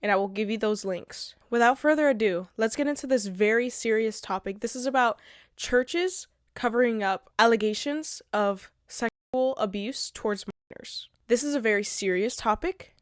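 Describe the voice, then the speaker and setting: A woman's voice: a little high, moderate pace, no echo, clean studio sound. A woman, congested from a recent illness, hosting her own commentary video and reading from notes in a blunt, earnest way. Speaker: channel host.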